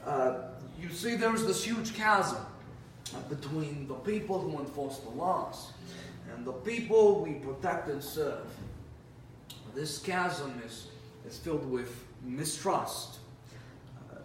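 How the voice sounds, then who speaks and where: A man playing a world-weary, animated police officer telling stories from the beat in a theatrical, conversational way with dramatic pauses. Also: fairly high pitch. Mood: sombre, reflective. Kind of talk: competitive dramatic monologue performance. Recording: roomy, distant mic with faint noise.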